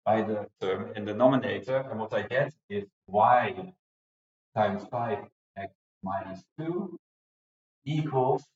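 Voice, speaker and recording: A man in his forties, an English speaker, giving a recorded math lecture at a whiteboard, explaining step by step with frequent fillers. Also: medium pitch. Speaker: university lecturer. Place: classroom with whiteboard, indoors. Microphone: far camera mic. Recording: dull, roomy classroom sound.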